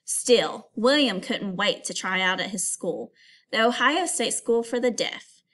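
Higher-pitched woman teacher reading to children. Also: a little slow. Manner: expressive storytelling, instructive, addresses pupils with questions. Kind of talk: read-aloud lesson for children.

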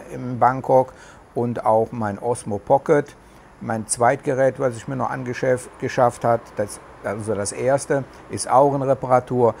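Middle-aged man, regional German dialect, chatty and halting, lapel mic on an outdoor terrace, faint ambience behind. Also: medium pitch, vocal fry, darkish tone, a little hiss or hum. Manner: rambling, many hesitations, chatty. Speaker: vlogger. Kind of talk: casual talk to camera. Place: covered terrace outdoors in the tropics.

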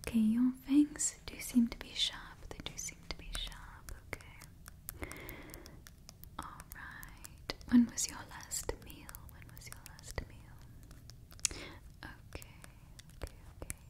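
A young woman performs an ASMR roleplay in an unhurried whisper. Her voice is soft-edged and mellow, very close to the mic, and she often repeats short phrases. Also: on the high side, steady tone. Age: 20s. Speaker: ASMR performer playing a monster party door checker. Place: small indoor setup before a brick backdrop. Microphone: close microphone, face near camera.

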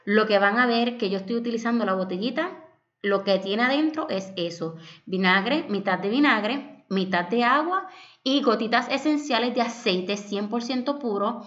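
A woman's voice: on the high side, normal speed, a bit bright, dull audio. A young woman from Puerto Rico with a Puerto Rican Spanish accent, narrating a home cleaning vlog in an affectionate, chatty voice-over that calls the viewers "my loves". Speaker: home vlogger.

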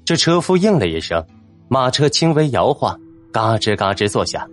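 A man's voice: medium pitch, big pitch swings, normal speed, soft background music. A man narrating a fantasy novel in a dramatic, scripted storytelling style. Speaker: audiobook narrator.

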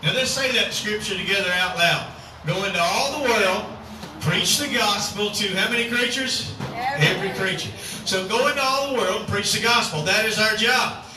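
A man's voice: high voice, moderate pace. A man, a cowboy church pastor giving folksy announcements and a sermon outdoors, calling on the crowd to answer. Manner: folksy, chatty, calls for crowd response. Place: outdoor rodeo arena at sunset. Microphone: far camera across the arena. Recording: echoey outdoor arena from far off, noisy.

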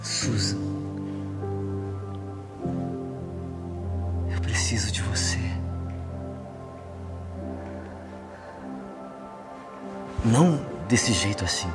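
A man's voice: on the low side, moderate pace, natural voice. An actor playing an impulsive, joking young businessman in a gospel film drama, with music under the dialogue. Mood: urgent. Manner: animated, joking. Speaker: lead actor.

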